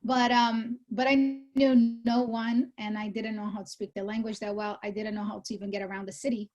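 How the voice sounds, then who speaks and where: A woman in her thirties from Brazil, a real estate executive, telling her story as an interview guest in a lively conversational way, correcting herself as she goes. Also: mid-range voice, fairly quick, highs cut off. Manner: animated storytelling with laughs and self-corrections. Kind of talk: casual interview chat.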